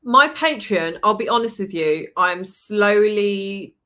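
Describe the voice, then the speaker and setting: Chatty English woman, bright voice. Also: mid-range voice, takes it slowly. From United Kingdom. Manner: chatty, lively storytelling with laughs. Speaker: guest, permanent makeup trainer. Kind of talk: casual podcast chat.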